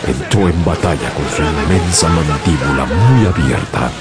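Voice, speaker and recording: An actor narrating as a young sailor who survived a shipwreck, in grave, measured storytelling. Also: male voice, rumbling low, animated, over a noisy crowd. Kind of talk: dramatic audiobook narration. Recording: loud music bed under narration.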